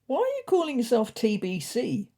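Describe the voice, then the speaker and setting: A woman's voice: a little high, huge pitch swings, normal speed, dark tone, pitched above the performer's own voice, full character voice. A woman voicing an earnest, naive, doubtful male pet cat in a comic audio storybook. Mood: puzzled. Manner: earnest, questioning.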